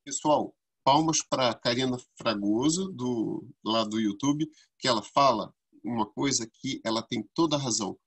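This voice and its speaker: A man from Brazil, co-hosting a casual podcast and telling animated stories that jump high when he acts out quotes. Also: normal speed, hardly any pauses, breathy edge. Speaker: podcast co-host.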